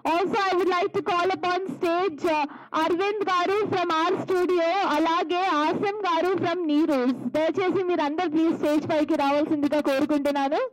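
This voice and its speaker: A woman's voice: very high voice, steady tone, measured pace, a little hiss or hum, poor audio. A woman with an Indian accent, hosting on stage and effusively calling for applause. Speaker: event host, emcee.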